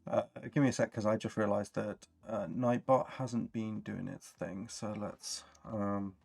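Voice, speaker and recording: A man's voice: on the low side, fairly even intonation, measured pace, gravelly, a little mellow, breathy edge. A man chatting casually and encouragingly as he hosts a worldbuilding livestream. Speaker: stream host.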